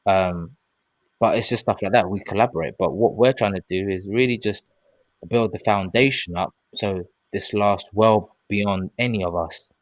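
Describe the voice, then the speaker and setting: A man in his thirties with a low, deep voice speaks in an earnest, rambling way, often drifting into plugs for his own project. The recording is close and dry, with no room sound. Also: normal speed, no echo. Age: 30s. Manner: earnest, rambling, promotional asides. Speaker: podcast co-host. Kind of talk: casual discussion.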